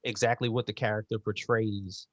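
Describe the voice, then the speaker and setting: A man hosting a casual interview, speaking in a halting way and repeating words as he frames his thoughts. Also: fairly low pitch, level tone, no room sound. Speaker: interview host.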